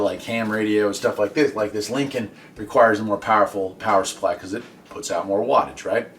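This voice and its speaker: A man's voice: mid-range voice, a bit bright. Man explaining gear, off-mic in a garage. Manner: conversational, explanatory. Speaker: host of a radio hobby video series. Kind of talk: casual product review talk. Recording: voice at a distance from the mic.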